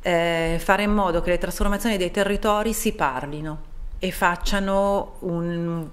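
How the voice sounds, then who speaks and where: A middle-aged woman being interviewed as a town mayor in a TV studio, explaining in an earnest, measured way with frequent pauses. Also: low voice, a bit bright.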